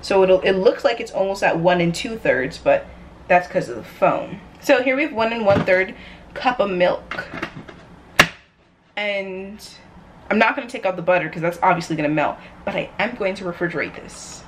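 A woman's voice: medium pitch, moderate pace. Playful young American woman, noisy room. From New York, United States. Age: young adult. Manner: playful, chatty, self-described chaotic energy. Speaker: vlogger. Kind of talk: casual cooking vlog chat to camera. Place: home kitchen, indoors. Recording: clear background noise, room ambience.

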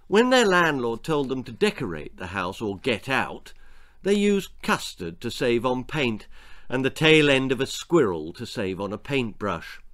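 A man in his sixties reading a children's story aloud in a theatrical, deliberate way. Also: mid-range voice, sing-song, a bit bright. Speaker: author reading his own stories. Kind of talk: dramatic children's story narration. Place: small book-lined room indoors.

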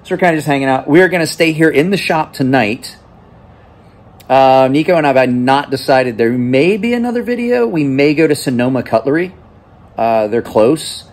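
A man's voice: medium pitch, lively intonation, normal speed, darkish tone. Tired host, 40s male, rambling, casual phone-held vlog in a shop. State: exhausted. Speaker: knife channel host. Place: small cluttered office, then large workshop. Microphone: phone held at arm's length.

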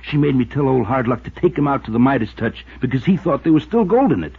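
An actor playing a desperate, unhinged lovestruck killer who pleads and rants in a 1950s radio drama. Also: male voice, mid-range voice, animated, fast talker, breathy edge. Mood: bitter, frantic. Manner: pleading, agitated, ranting. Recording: muffled tinny 1950s radio recording.

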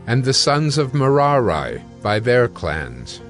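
A man reads scripture as the narrator of an audio drama, with a mid-pitched voice. His delivery is measured and formal, and music plays behind him. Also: big pitch swings. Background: background music.

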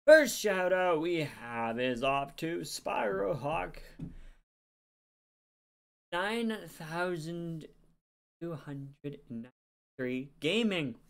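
A tired young man, rambling casually through channel shoutouts into a desk webcam in a small room. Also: fairly high pitch, animated, drawn-out words, vocal fry, long pauses, smooth. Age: young adult. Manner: rambling, chatty, self-deprecating. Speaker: YouTuber.

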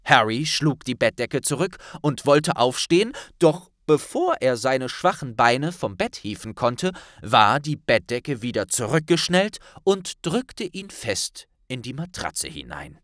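Expressive male audiobook narrator, unhurried. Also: mid-range voice, no echo, studio quality. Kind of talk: dramatic scripted narration.

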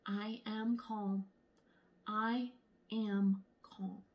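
A woman voicing a calm female monkey in a storybook, soothing herself with slow, drawn-out words. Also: medium pitch, muffled recording, pitched below the performer's own voice.

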